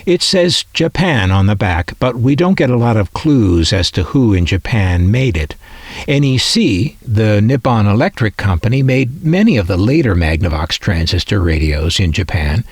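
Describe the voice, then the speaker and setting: Male narrator, low register, animated melody, dry close sound, reflective collector delivery. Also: moderate pace, husky, no room sound. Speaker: radio collector presenting their collection. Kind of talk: scripted narration.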